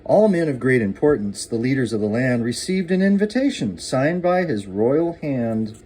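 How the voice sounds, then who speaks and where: Older man reading a storybook, very animated. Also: medium pitch, moderate pace, slight background noise. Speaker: grandfather reading aloud to a young child. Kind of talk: expressive storybook narration.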